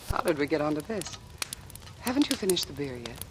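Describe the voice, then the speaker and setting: Cool, wry noir dame, crackly recording. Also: female voice, low-pitched. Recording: noisy, crackly vintage radio recording.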